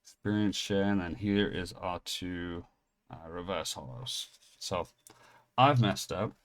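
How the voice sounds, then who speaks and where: A deep-voiced man in his thirties with an English accent that has picked up American edges. He rambles through an anecdote, then opens card packs, cheerfully calling himself clumsy. His talk wanders, restarts and doubles back like easy chat with viewers. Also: slow. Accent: English, partly Americanized. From England. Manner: rambling, self-mocking, restarts often. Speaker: pack-opening channel host. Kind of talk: casual storytelling and card unboxing.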